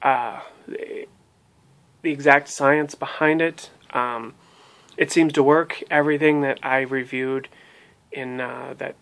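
A man's voice: mid-range voice, steady tone, takes it slowly, creaky, fairly bright tone. A man in his thirties giving a casual spoken review of a language course to his webcam in a small room at home, hesitating often with ums and restarts. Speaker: language learning vlogger. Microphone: webcam at desk.

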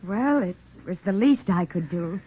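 An actress playing a composed, affectionate young daughter in a 1940s radio drama. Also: female voice, mid-range voice, lively intonation, unhurried, breathy edge. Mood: soft, affectionate. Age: young adult. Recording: dull, slightly noisy 1940s radio recording.

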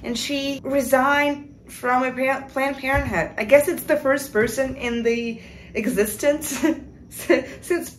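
Sarcastic young woman, higher-pitched voice. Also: fairly even intonation, takes it slowly, a little hiss or hum. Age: 20s. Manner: sarcastic, exasperated. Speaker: video commentator. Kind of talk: casual film review rant. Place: small room indoors.

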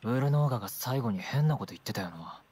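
Husky, cool young adventurer. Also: male voice, medium pitch, expressive, quick pace, darkish tone. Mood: wary. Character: cool, rough-spoken young male adventurer. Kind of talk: dramatic game voice acting.

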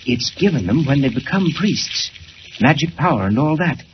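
A man's voice: fairly high pitch, animated, normal speed, lightly acted. An actor playing an older, learned father, agitated and earnest, in 1940s radio style. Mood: earnest, excited. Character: excitable older learned doctor, a worried father. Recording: dull, hissy 1940s radio recording.

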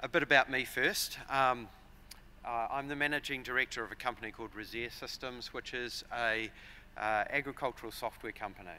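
A man in his forties with a New Zealand accent, giving a measured, explanatory conference talk at a lectern with frequent fillers and restarts. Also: medium pitch, fairly even intonation, sharp tone, slight background noise. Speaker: conference speaker. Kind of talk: conference presentation. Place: large conference hall, at a lectern. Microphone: lectern microphones.

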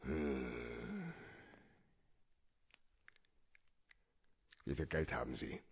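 Husky, cynical hard-boiled private eye. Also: male voice, extremely low pitch, huge pitch swings, slow pace, exaggerated voice. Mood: cool, businesslike. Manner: terse, dry, probing. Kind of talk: German radio play acting.

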